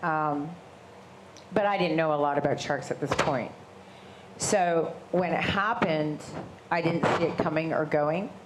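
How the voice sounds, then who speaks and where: A woman with a big voice telling her story to a live audience, animated and gesturing as she talks. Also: deep voice, measured pace, a little hiss or hum, poor audio. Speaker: shark attack survivor and guest speaker. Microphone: handheld microphone.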